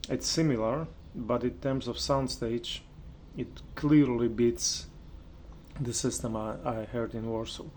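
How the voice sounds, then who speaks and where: A man with a medium-pitched voice talks through his listening impressions as a reviewer, without a script. His delivery is very unhurried and halting, as he searches for each word. Some ambient noise sits behind his voice. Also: steady tone, a little mellow. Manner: hesitant, halting, searching for words. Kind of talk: unscripted spoken product review.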